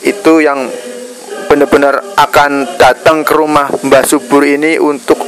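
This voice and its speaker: This is a man with a Javanese Indonesian accent and a voice pitched a little high. He speaks directly to his viewers as a video blogger. His delivery is earnest and a touch preachy, and it often halts in the middle of a phrase. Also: flat delivery, a bit bright, a little hiss or hum, poor audio. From Indonesia, Java. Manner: halting, pausing mid-phrase. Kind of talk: earnest spoken commentary addressed to viewers.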